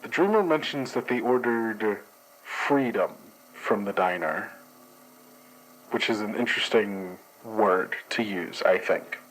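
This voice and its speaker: A man's voice: fairly low pitch, slow pace, a bit bright, poor audio. A man playing a formal, guarded dream investigator who hesitates and speaks in stiff bureaucratic phrasing. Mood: measured. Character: formal, guarded male agent analysing dreams. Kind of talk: actual play, in-character report.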